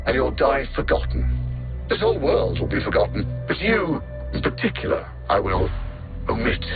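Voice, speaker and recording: Arrogant alien supercomputer villain, sweeping theatrical intonation, creaky edge, sardonic, haughty, synthetic game-villain filter. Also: male voice, medium pitch. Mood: menacing, mocking. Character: cold, arrogant alien machine-intellect supervillain bent on conquest. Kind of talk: video game villain dialogue. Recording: narrow band-limited radio-like sound, music behind. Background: game music.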